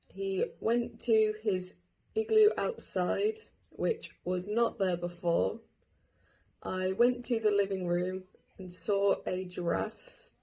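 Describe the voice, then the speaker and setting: A woman's voice: mid-range voice, gravelly, dark tone. A woman retelling a simple children's-style story from memory. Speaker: participant in a story-retelling game.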